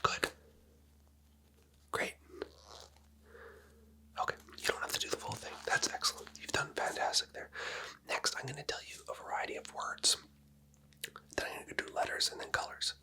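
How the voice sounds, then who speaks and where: A person whispering gentle, patient clinical instructions in a close, quiet ASMR medical roleplay as a doctor. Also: normal speed, pauses often. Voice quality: whispered, mostly unvoiced. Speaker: ASMR creator playing a doctor.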